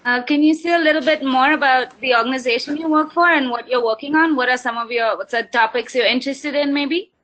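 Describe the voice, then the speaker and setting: A woman's voice: high-pitched, fairly quick, hardly any pauses, a bit bright. A woman moderating an online webinar over a video call, polite and hesitant, with frequent ums. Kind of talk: hosting an online meeting.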